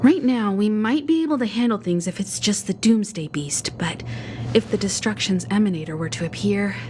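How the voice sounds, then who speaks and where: A woman's voice: medium pitch, moderate pace, noisy background, close to an everyday voice. An actress playing a poised, decisive female space traveler in a video game cutscene. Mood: calm, resolute. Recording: loud music bed underneath.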